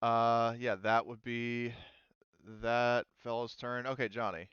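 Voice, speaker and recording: A man's voice: medium pitch, flat delivery, takes it slowly, clear, resonant tone, dry recording, dull audio. A man running a tabletop roleplaying game as game master, casual and hesitant, often thinking aloud as he checks the rules.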